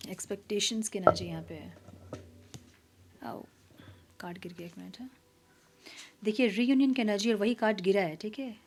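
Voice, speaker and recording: Softly hoarse woman reading tarot. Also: medium pitch, normal speed, pauses often, slight background noise. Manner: soft, mixing Hindi and English, drops to near-whispers while shuffling. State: slightly hoarse, low voice. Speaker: tarot reader. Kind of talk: casual tarot reading monologue.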